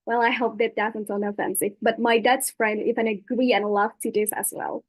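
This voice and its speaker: A woman's voice: on the high side, little pitch movement, normal speed, no room sound. A young woman from Indonesia giving a webinar talk over slides, hesitant with many fillers and restarts. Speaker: webinar speaker. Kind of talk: presentation with slides.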